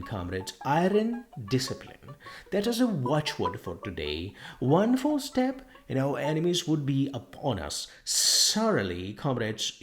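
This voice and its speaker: A man's voice: fairly high pitch, pitched above the performer's own voice. A man voicing an oily, fervent propagandist pig in a storybook, speechifying in a pompous, emphatic and persuasive way. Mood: stern, agitated. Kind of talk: audiobook character voice.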